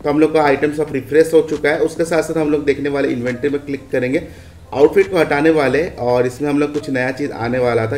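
A man's voice: mid-range voice, darkish tone. An Indian man hosting a gaming video, giving a casual walkthrough commentary with repeated stock phrases. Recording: echoey room with faint background noise.

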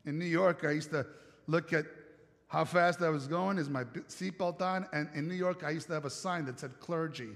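Italian-accented man preaching with a high voice. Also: moderate pace, gravelly, fairly bright tone. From Italy. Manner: conversational preaching with rhetorical questions and jokes. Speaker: pastor. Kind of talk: sermon. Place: church sanctuary stage. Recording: long church hall reverb.

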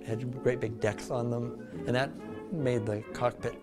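A man's voice: medium pitch, flat delivery, measured pace. An older man who builds canoes talks earnestly in his shop, adding some dry humor. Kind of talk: casual interview. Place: woodworking shop, indoors. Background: background music.

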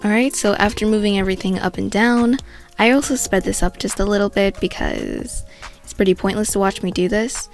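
A woman's voice: medium pitch, big pitch swings, a little fast, vocal fry, faint music behind. Congested young woman, chatty tutorial voice-over. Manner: casual, chatty, self-mocking asides. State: congested from a cold. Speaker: art tutorial host.

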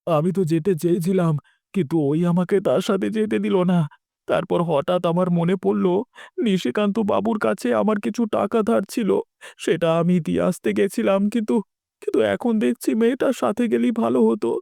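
A distraught village father from a cartoon folk tale, acted by a man, speaks in a high, mellow voice. He pleads and laments, in a close, dry sound. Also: fairly quick, creaky, no echo. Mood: anguished, remorseful. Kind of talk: cartoon dialogue acting.

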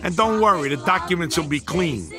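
An actor playing a cocky young hustler, slick and self-assured, in a game cutscene. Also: male voice, fairly high pitch, expressive, fairly quick, over music, natural voice. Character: cocky young hustler friend, smooth talker.

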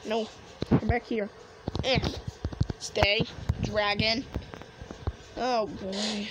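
A woman's voice: mid-range voice, level tone, drawn-out words. A woman improvising casual roleplay commentary while gaming, hesitant and muttering to herself, on a noisy home recording. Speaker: player in a game roleplay video.

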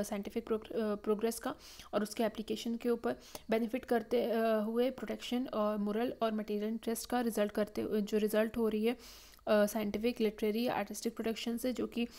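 A woman talks through lecture slides in a mellow, fairly high voice that stays flat and even. She reads the clauses haltingly, with many "uh" fillers and restarts, and mixes in English legal terms. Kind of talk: slide-based lecture explaining a legal text. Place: voice-over on slides.

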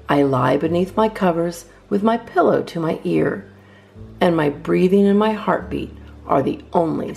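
A woman with a low, creaky voice reads children's verse aloud as a storytime host. Her phrasing is measured and expressive, and soft guitar music plays behind her. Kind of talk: read-aloud of children's poems.

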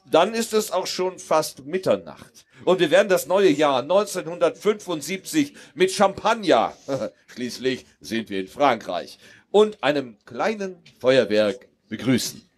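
A man's voice: fairly high pitch, sing-song, unhurried, slightly rough, full character voice. A middle-aged man playing a genial, ceremonious Soviet ambassador in a live radio play, with formal, florid phrasing. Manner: formal, florid, speech-making. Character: genial, ceremonious Soviet ambassador hosting a New Year reception. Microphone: headset on an outdoor festival stage.

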